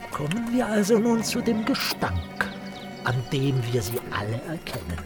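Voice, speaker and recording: An actor playing a hateful, sneering dog in a German radio drama, declaiming in a haughty manner. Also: male voice, fairly high pitch, huge pitch swings, normal speed, loud music behind the voice. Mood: lecturing. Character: hateful, sneering male dog reading a pamphlet aloud.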